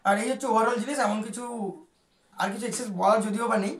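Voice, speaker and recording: A woman's voice: on the high side, steady tone, fast talker. A woman giving a casual, chatty unboxing commentary, speaking straight to viewers with English words mixed in. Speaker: vlogger presenting a product. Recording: echoey room sound.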